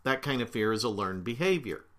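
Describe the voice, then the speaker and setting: A middle-aged man hosting a podcast as a therapist, explaining ideas in a relaxed way while slightly congested with a cold, clearing his throat now and then. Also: mid-range voice. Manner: unhurried, with fillers and frequent throat-clearing. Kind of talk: casual explanatory talk.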